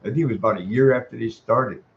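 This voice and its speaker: An older man with a mid-pitched voice is airing a complaint in informal speech. He sounds aggrieved and emphatic, rambling and circling back over his words. The sound is dull and lo-fi, with faint noise behind him. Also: fast. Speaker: caller, tenant making a complaint. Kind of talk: informal phone complaint, venting.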